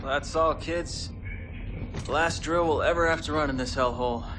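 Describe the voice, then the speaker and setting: A man's voice: a little high, moderate pace, over music, close to an everyday voice. A man playing a cocky young male warrior cadet who banters wryly with his squad. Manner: wry, teasing banter. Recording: noisy background. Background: ambient noise.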